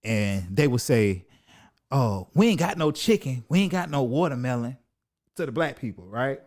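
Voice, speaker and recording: Man, 30s, podcast host, casual profane chat, animated, swinging pitch. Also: moderate pace. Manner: animated, laughs often, loose and profane.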